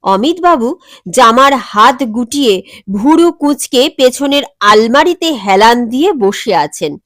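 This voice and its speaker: A woman's voice: high-pitched, fairly bright tone, no room sound, clean studio sound. A woman reading a scripted comic family story as its narrator.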